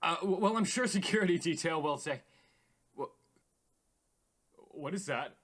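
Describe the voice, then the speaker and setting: A man's voice: fairly high pitch, expressive, fairly bright tone. An actor in a radio drama playing an uneasy, hesitant male radio host. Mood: nervous, confused. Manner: hesitant, halting. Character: uneasy radio host presenting a dead man's tapes.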